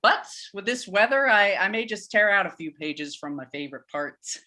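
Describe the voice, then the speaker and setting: A woman playing a joking, informal church pastor who speaks haltingly in a live staged play reading. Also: medium pitch, lively intonation, bright, forceful tone. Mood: wry, joking. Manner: halting, chuckling, informal sermon delivery. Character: Pastor Reggie, rambling, joking, nervously candid church pastor.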